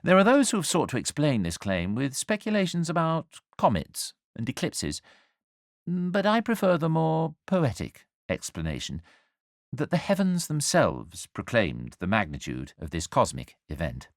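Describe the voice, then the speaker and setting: A man narrating a scripted story as a dramatic storyteller, with wry, measured and deliberate phrasing. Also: mid-range voice, huge pitch swings, gravelly, dry recording, studio quality. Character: angel narrating the nativity story, wry and urbane.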